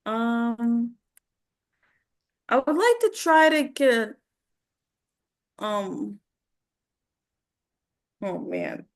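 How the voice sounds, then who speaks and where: Woman, student, casual chat, hesitant, thinking aloud, very slow pace, slightly high pitch. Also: big pitch swings. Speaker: voice acting student.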